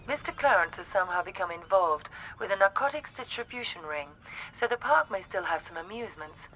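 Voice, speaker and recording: A woman's voice: medium pitch, animated, brisk, fairly bright tone, a little hiss or hum, lightly acted, poor audio. A woman playing a composed, businesslike agency handler giving a mission briefing in a video game. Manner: businesslike, smooth, detached.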